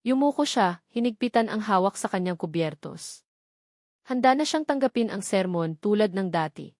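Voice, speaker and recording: A woman's voice: mid-range voice, animated, normal speed, a little mellow, dry recording. Woman narrating a dramatic story, dry sound. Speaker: narrator of an audio love story.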